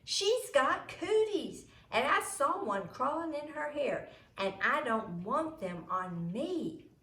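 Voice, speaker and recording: A woman's voice: high-pitched, big pitch swings, takes it slowly, sharp tone, distant mic, voice pushed up, heavily acted. A woman reading a storybook, voicing a bold, sly schoolgirl bully with a blunt, taunting manner. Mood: loud, defiant. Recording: roomy echo, noisy home recording.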